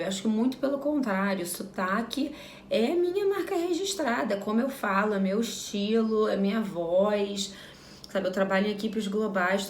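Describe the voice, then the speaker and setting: A woman's voice: medium pitch, echoey room, a little hiss or hum. A Brazilian woman in her thirties talking frankly to camera in a casual vlog, repeating phrases for emphasis. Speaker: vlogger.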